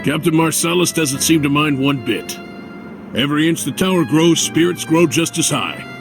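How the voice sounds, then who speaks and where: Proud upbeat game soldier buddy, slightly high voice, faint music. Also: male voice, normal speed, vocal fry. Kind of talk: English video game voice acting. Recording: light game music behind.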